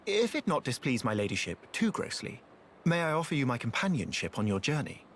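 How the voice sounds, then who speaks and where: An actor playing an unctuous, scheming soldier in a video game, speaking in a flowery, obsequious, archaic formal way. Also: male voice, medium pitch, big pitch swings, fairly quick, a little hiss or hum. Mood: eager, servile. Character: fawning male soldier, unctuous and scheming courtier.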